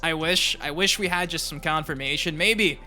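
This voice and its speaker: A man hosts a gaming news video in a forceful, bright voice that sits a little high. His delivery is informal and chatty, and he leans on words for emphasis. Music plays softly behind him. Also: normal speed. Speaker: YouTube gaming news host. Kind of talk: casual gaming news commentary. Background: background music.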